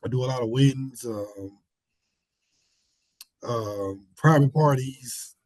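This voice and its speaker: A man with a slightly hoarse voice from a cold, talking basketball as a podcast guest in animated casual chat, repeating his points. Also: medium pitch, slow, dry recording. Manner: animated, repeats phrases, slangy. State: hoarse, getting over a cold.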